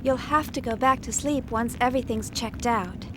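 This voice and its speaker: A young female engineer from a video game, played by an actress, with a high voice and a gentle, caring way of talking. She sounds conversational, with clear noise behind her. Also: normal speed, lightly acted. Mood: friendly, businesslike.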